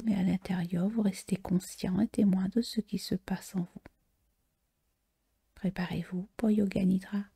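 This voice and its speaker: A woman guiding a relaxation meditation in a soft, whispery, breathy voice, slow and soothing, with long pauses. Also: lowish voice. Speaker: yoga nidra relaxation guide. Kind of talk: guided meditation.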